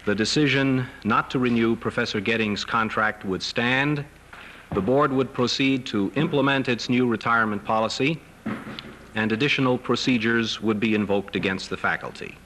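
A middle-aged American man giving a formal, measured press statement. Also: mid-range voice, a bit bright. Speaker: clergyman, seminary head speaking to press. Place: wood-paneled press conference room. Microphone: table microphones. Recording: noisy 1970s newsreel film sound.

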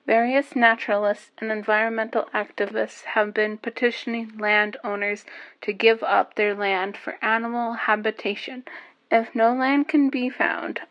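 A woman playing a composed evening news anchor in an audio drama, reading a bulletin in a measured, formal way. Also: on the high side, level tone, muffled recording, lightly acted.

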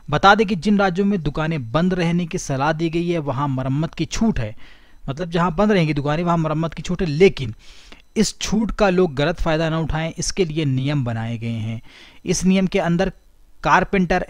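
A man's voice: on the high side, no echo. A man hosting his own tech help channel, reading news text aloud and then restating it in simpler words. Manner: reads aloud then rephrases in plain words. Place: voice-over over a screen recording of a document.

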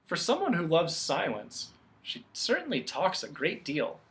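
Storybook boy hero, acted by a narrator: polite, earnest, eager, with lively pitch. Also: a little slow, heavily acted. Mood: wry.

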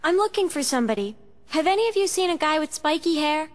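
A brisk young game heroine with a high, lively voice. She is direct and forthright, in a long reverb. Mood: brisk, inquiring. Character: brisk, direct young woman searching for someone. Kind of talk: video game cutscene acting.

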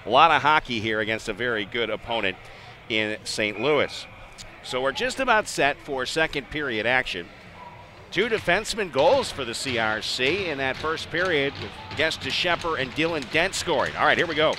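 A man with a bright, forceful American voice calls live ice hockey. He is conversational and chatty, with rink noise around him in the arena. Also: mid-range voice, expressive, normal speed. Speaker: play-by-play sports announcer. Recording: clear arena ambience noise behind the voice.

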